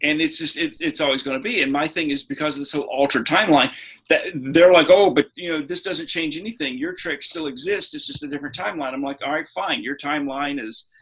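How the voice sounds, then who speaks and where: A man's voice: on the high side, fast, fairly bright tone, slightly rough. A man chatting casually on a podcast as a co-host, giving his opinions in an emphatic, argumentative way.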